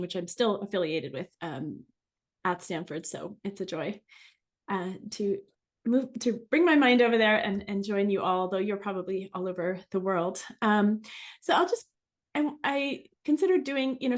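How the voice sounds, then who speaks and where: Middle-aged woman, native French speaker from France, medium pitch, guest speaker, conversational and reflective talk.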